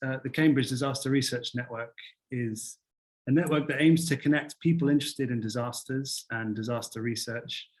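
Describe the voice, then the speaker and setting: A young man hosting an online academic seminar over a video call, relaxed and full of fillers. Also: medium pitch. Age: young adult. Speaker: seminar host.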